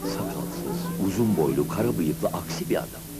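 An actor narrating a cartoon as a grown man recalling his boyhood school days, in an expressive, scripted storybook manner. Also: sits low, moderate pace, loud music behind the voice. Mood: reminiscing, measured.

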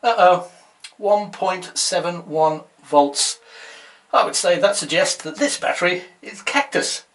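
A man's voice: high voice, unhurried, a bit bright. A man, narrating his own boat maintenance vlog in a chatty, step-by-step way, filmed on a handheld camera. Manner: chatty, matter-of-fact, explaining boat jobs step by step. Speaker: sailing vlogger. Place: on a sailboat and beside its hull in a boatyard. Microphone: handheld action camera.

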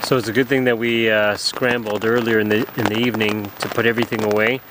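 A man's voice: on the low side, little pitch movement, fast. A middle-aged man telling a canoe trip story to a close camera, rambling and restarting his sentences. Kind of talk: casual narration to camera. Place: inside a small tent at night during a storm. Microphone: camera held close in the tent. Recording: outdoor storm noise. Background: wind, rain and pine needles on the tent.

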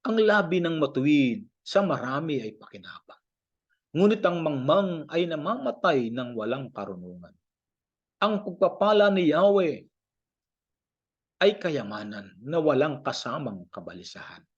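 Filipino guy reading bible verses out loud, kinda high voice, declamatory, muffled lo-fi recording. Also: very animated, measured pace, breathy edge. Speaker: Bible reader. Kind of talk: scripted scripture reading, measured recitation. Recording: dull lo-fi home recording.

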